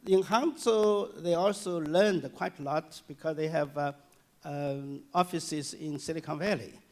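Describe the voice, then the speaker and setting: A man giving a public lecture and answering audience questions, speaking haltingly with many fillers and restarts. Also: a little high, a little mellow. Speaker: guest lecturer. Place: lecture hall.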